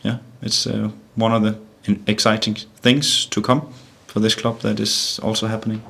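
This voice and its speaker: A middle-aged Danish man with a low, deep voice speaks English with a Danish accent at a press conference. He talks haltingly and often repeats words before finding his phrasing. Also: little pitch movement, vocal fry, a bit bright. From Denmark. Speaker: football manager.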